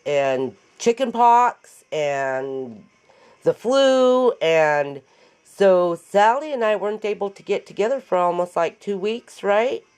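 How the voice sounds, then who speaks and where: A woman with a raspy voice after an illness, chatting and joking as she demonstrates a paper craft in her home craft room. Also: medium pitch, lively intonation, slow, a little mellow. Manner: chatty, joking, laughs often. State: recovering from illness. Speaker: craft tutorial host. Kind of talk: casual chat while demonstrating a craft.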